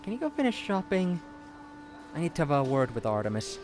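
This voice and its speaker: A man's voice: a little high, huge pitch swings, brisk, over music. Man playing an easygoing, upbeat young man. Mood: gentle. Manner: casual, easygoing, playful. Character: friendly, upbeat young man, early-twenties observatory worker. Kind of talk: audio drama acting of fan fiction.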